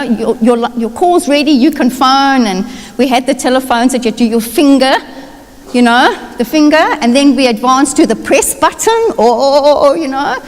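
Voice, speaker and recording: A woman pastor with a South African accent, telling personal stories in a chatty, anecdotal sermon. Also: on the high side. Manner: chatty, anecdotal, self-deprecating. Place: church sanctuary. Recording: reverberant church hall.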